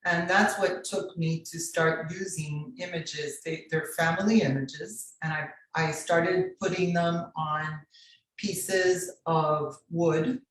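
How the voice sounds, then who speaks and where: A woman from Miami telling her own story as a guest artist in an interview, deliberately paced in her delivery. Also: lowish voice, fairly even intonation. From Miami, Florida. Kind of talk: personal interview narrative. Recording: very echoey room, distant from microphone.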